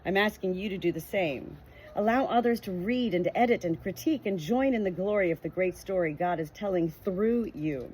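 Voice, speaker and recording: A woman's voice: medium pitch, moderate pace, a little mellow, a little hiss or hum, low-quality recording. A woman reading a book aloud in an earnest, emphatic way, now and then breaking off into chatty personal asides. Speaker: audiobook reader.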